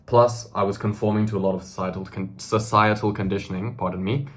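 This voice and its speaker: A man's voice: lowish voice, fairly even intonation, a little fast, a bit bright. A man, earnestly addressing the camera in a self-improvement monologue full of "right?" tags and fillers. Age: 20s. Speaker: self-improvement video host.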